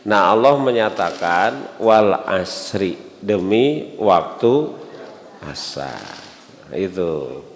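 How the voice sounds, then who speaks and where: A man's voice: sits low, very animated, drawn-out words, long pauses. A man with a Sundanese accent preaching to a congregation in a didactic, conversational way, repeating key words and asking rhetorical questions. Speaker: Islamic preacher. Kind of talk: sermon to a congregation, conversational teaching. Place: mosque hall. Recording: echoey hall with long reverb.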